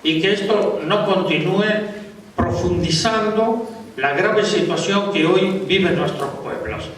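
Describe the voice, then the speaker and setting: A man's voice: high-pitched, expressive, slow pace, a bit bright, slight background noise. A man speaking on a conference panel, earnest, with a militant appeal. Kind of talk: conference talk. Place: large conference hall. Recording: echoey hall, distant mic.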